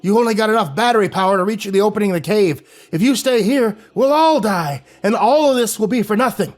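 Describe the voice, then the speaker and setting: A man voicing a bitter old mountain man with gruff, rural speech, shouting through gritted teeth. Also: high voice, normal speed, pitched above the performer's own voice. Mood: desperate shouting.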